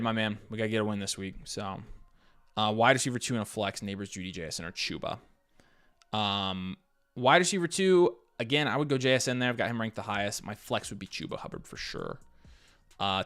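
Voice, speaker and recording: An American man hosting a live fantasy football Q&A, casually thinking aloud as he reads questions and gives quick picks. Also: on the low side, a bit bright. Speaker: fantasy football livestream host.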